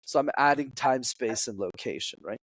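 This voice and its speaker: A young man who grew up speaking French, explaining storytelling as a public speaking coach in an energetic, teacherly way as a podcast guest. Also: medium pitch, moderate pace, dry recording.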